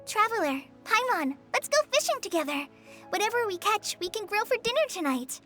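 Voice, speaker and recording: Eager young ranger girl for a game: girlish, very high, bright, polite, music underneath. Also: sing-song, a little fast, heavily acted. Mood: eager, friendly. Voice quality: light, girlish. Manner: polite, earnest. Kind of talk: video game voice acting.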